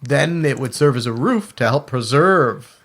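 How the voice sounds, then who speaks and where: A man's voice: medium pitch, lively intonation, measured pace, a bit bright. A man co-hosting a community radio show, chatting casually about local news in a joking, laid-back manner. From Canada.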